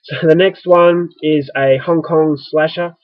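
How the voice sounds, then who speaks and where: A man's voice: fairly high pitch, fairly even intonation, measured pace, dry recording. A man with an Australian accent talks to camera in a loose, joking vlog, his voice a little stuffy as if he is getting a cold. The sound is dry and slightly dull, like a modest home recording. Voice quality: chatty, self-mocking, rambling. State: stuffy with early flu. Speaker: vlogger. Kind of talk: casual vlog talking to camera.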